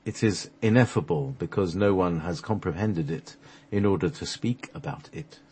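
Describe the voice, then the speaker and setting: Male radio host for a solemn scripted reading: deep, husky voice, measured delivery of mystical prose. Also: animated, darkish tone.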